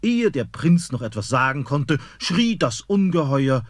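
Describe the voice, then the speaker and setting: A man narrating a fairy-tale radio play in an expressive storytelling manner. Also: on the high side, a little slow, no room sound, clean studio sound.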